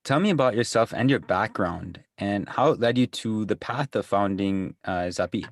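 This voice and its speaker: A man hosts a podcast interview and asks his questions in an easy, slightly hesitant way. His voice is low and gravelly. It sounds dry and close. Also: normal speed, dry recording. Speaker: podcast host.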